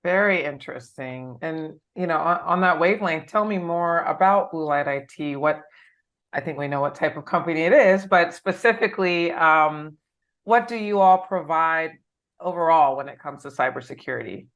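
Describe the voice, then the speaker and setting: A woman's voice: deep voice, normal speed, fairly bright tone. A woman hosting a job-advice interview on a video call, asking questions and giving short encouraging replies. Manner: encouraging, brief affirmations. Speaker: host. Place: home room. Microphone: laptop webcam on video call.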